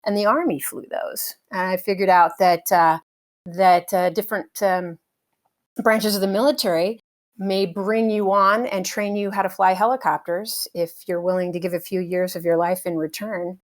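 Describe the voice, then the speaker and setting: A middle-aged American woman, a helicopter pilot, speaking as an interview guest in a relaxed, thoughtful way with many fillers and light laughs. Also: mid-range voice, moderate pace. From United States. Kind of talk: casual conversational interview.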